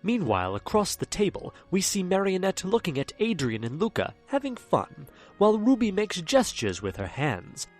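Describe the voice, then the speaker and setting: Man narrating, lively and theatrical. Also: high-pitched, normal speed, few pauses, soft background music. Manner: theatrical storytelling. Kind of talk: scripted narration.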